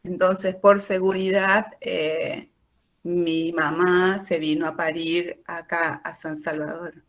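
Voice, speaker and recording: A woman teacher speaks slowly and reflectively. Her voice sits low. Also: level tone, fairly bright tone. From Jujuy, Argentina. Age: 40s.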